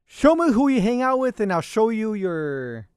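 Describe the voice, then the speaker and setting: Very high-pitched, animated male podcast host. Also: moderate pace, clear, resonant tone, no echo, clean studio sound. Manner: teasing, coaxing, repeatedly addresses co-host. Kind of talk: casual chat, banter.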